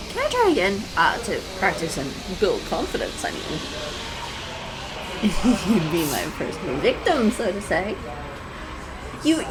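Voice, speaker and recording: A woman's voice: medium pitch, background noise. A woman playing a shy, anxious young woman in an audio roleplay, rambling nervously and self-consciously to a stranger. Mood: nervous, apologetic. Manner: nervous, hesitant, rambling, self-deprecating, giggly. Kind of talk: intimate audio roleplay addressed to the listener. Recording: coffee shop chatter behind voice. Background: coffee shop crowd sound effects.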